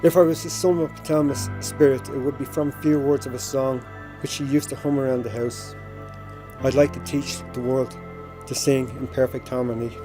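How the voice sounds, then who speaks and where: A middle-aged man with a Dublin accent reads a prepared tribute. His voice sits at a medium pitch, measured and formal, with music clearly playing behind it. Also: steady tone, rough recording. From Ireland. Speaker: bereaved brother giving a tribute. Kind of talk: reading a prepared memorial statement.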